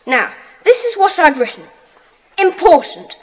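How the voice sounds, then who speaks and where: A woman's voice: extremely high pitch, very animated, full character voice, low-quality recording. A woman playing a bossy, eager schoolboy who leads a secret society, in a children's audiobook drama. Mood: earnest, organising. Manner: bossy, earnest, brisk.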